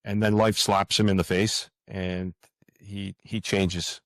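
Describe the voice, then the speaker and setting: An older man speaking as an interview guest author, earnest and halting, often stammering and repeating words. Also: low voice, little pitch movement, no room sound, low-quality recording.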